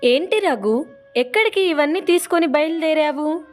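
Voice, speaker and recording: A woman's voice: sits high, animated, moderate pace, faint music behind. A woman voicing a loving, devout mother speaking affectionately in a children's cartoon. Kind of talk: children's cartoon story dubbing.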